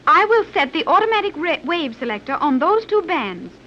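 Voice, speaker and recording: High-voiced, coolly logical young science whiz. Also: female voice, sing-song, normal speed. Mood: cool, instructive. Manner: formal, exact diction without contractions, didactic. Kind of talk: 1930s radio serial acting. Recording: muffled, hissy 1930s radio recording.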